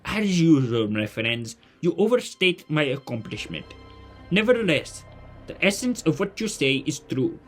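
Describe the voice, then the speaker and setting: A man's voice: a little high, sing-song, unhurried, soft background music. A man playing a polite, modest genius mentor in a family audio drama, with formal, hesitant phrasing. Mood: gentle, measured.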